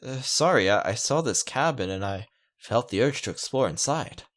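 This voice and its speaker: A man's voice: medium pitch, animated, normal speed, a bit bright, voice pushed up, lightly acted. A man voicing a nervous young visual novel protagonist, apologetic and stammering.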